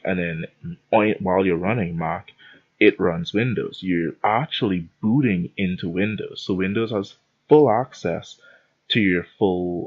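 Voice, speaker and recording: Low husky man ranting casually. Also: dull audio. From Bahamas. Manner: rambling, self-interrupting, lively storytelling. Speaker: podcast host. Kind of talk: casual rambling rant.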